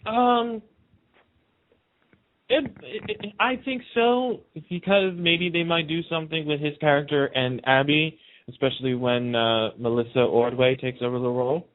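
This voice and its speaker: A man's voice: a little high, steady tone, normal speed, dry recording. A man chatting casually and emphatically as an opinionated guest on a radio talk show. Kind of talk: casual chat about TV soaps. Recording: muffled, narrow phone-like line.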